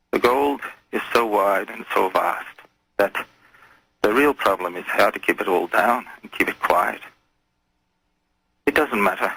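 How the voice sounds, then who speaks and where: A man phones in to a radio show with a lowish, husky voice. He talks casually, with folksy turns of phrase and a calm menace. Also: low-quality recording. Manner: folksy, calmly menacing, addresses others as lad and lassie. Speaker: phone-in caller. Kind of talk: casual conversational radio call.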